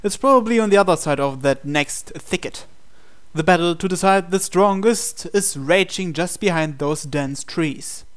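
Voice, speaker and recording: A man reading a visual novel aloud as a dramatic narration, with deliberate pauses. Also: high-pitched. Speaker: gaming channel host. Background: game music.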